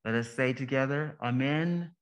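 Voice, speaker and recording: A man leading a congregation in solemn prayer as a minister, with deliberate, measured phrasing and pauses. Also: medium pitch.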